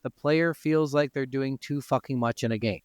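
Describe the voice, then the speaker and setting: A man's voice: mid-range voice, moderate pace, a little mellow, clear, resonant tone, no room sound. Guy on a gaming podcast, clean dry sound, chats casually and swears a bit. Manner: informal, profane. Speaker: podcast host.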